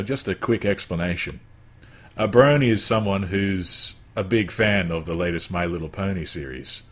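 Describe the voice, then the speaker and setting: A man with a very low bass voice and a husky, slightly rough tone hosts a relaxed chat. He jokes playfully between questions. His speech stays easygoing. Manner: playful, joking asides. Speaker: interview host. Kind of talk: casual interview.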